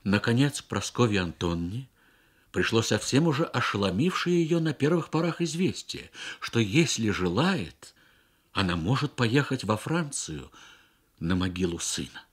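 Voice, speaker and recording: A man reads a literary short story for radio in measured, expressive phrases with frequent pauses. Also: medium pitch, husky. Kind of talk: literary narration, Soviet radio story reading.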